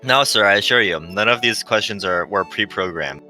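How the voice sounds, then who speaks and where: A man's voice: fairly low pitch, moderate pace, sharp tone, soft background music. A man voicing a polite, chatty, unflappable AI shuttle navigator in a visual novel, with courteous, understated delivery. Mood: curious, chatty. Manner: courteous, formal, soothingly understated. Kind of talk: visual novel character reading.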